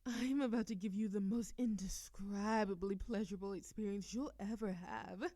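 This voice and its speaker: A woman's voice: medium pitch, mellow, no echo, natural voice. A woman voicing a playful, seductive immortal succubus demon princess in an audio roleplay, teasing and giggly with a sultry drawl. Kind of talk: scripted audio roleplay acting.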